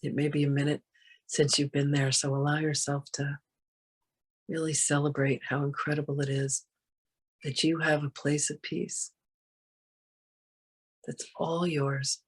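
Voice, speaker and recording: Soft, breathy voice guiding a meditation. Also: medium pitch, level tone. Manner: gentle, soothing, unhurried, with long pauses. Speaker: meditation guide. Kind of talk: guided meditation.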